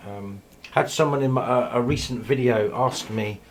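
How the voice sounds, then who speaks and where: A man giving a casual, ad-libbed video tour in a hesitant, unhurried, rambling way, recorded on a handheld camera in a small room. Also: mid-range voice. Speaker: amateur radio operator filming shack tour. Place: small indoor radio room. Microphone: handheld camera mic. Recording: noisy handheld camera sound.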